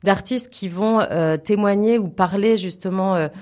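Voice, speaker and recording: A woman's voice: medium pitch, unhurried, darkish tone. A woman speaking as a theatre guest in a spontaneous interview, earnest and emphatic while often searching for words. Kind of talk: spontaneous radio interview conversation.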